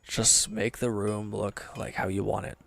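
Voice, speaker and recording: A man playing a wounded, proud villain in an intimate audio drama, sullen and guarded, curt and halting in a breathy murmur. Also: fairly low pitch, flat delivery, a bit bright, a little hiss or hum. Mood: awkward, guarded.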